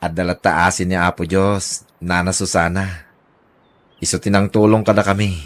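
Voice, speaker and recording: A man's voice: sits low, little pitch movement, normal speed, close to an everyday voice. A man with an Ilocano accent playing a loving, pious, humble old father in a radio drama. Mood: humble, devout.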